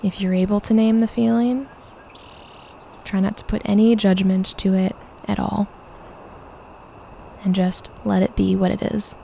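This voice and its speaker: A woman leading a guided meditation, calmly and gently instructing with unhurried pauses. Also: medium pitch, creaky, slight background noise.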